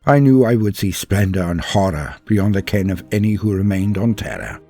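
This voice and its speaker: A man narrating a story in a solemn, theatrical, dramatic style with a husky voice and drawn-out phrases. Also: fairly low pitch, animated, mellow. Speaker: storyteller narrating fan fiction. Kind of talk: dramatic scripted first-person narration. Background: music.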